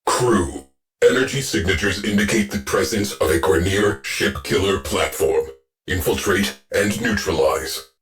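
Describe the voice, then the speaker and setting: An actor playing a terse, dry male ship's AI officer who speaks in clipped, deadpan military orders for a video game. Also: lowish voice, unhurried, music in the background, close to an everyday voice.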